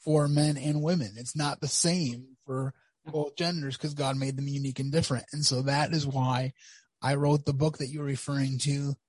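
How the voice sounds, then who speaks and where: A man's voice: mid-range voice, fairly even intonation, moderate pace, a little mellow, breathy edge, dry recording. A man hosting a conversational interview podcast, speaking as an author to his guest and listeners.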